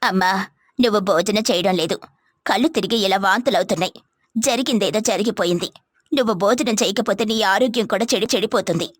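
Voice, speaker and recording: A woman's voice: mid-range voice, a little fast, no room sound, studio quality, close to an everyday voice. A woman voicing a worried mother in a story, fretful and reproachful about her grown daughter. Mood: concerned.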